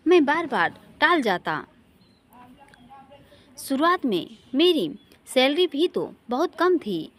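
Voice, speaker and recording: Woman reading as wistful young man, storybook romance, earnest, confiding, high voice, lively intonation. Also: heavily acted.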